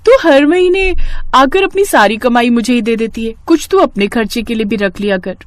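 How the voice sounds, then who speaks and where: A woman's voice: a little high, lively intonation, moderate pace, natural voice. A woman voicing a caring, doting elder sister in a story cartoon, affectionate and concerned in manner. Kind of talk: Hindi moral-story cartoon dialogue.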